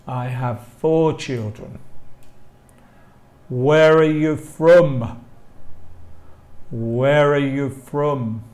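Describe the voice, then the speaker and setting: Slow-talking English man teaching a class. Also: medium pitch, darkish tone. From England. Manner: slow, careful enunciation with repeated phrases. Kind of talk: live online language lesson, reading model questions and answers.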